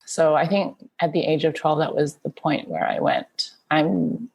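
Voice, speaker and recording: A woman's voice: deep voice, animated, measured pace, gravelly, a little mellow, slight background noise. A woman in her thirties from Malaysia, talking casually as a guest in an interview. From Kuala Lumpur, Malaysia. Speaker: podcast guest, dance artist and teacher.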